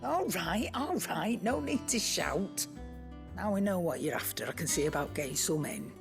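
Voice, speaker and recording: An actress voicing a gruff but kind-hearted working woman in a game, with a British accent and a teasing manner. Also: fairly low pitch, expressive, normal speed. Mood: teasing, fond. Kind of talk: video game cutscene acting. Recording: guitar music behind the dialogue.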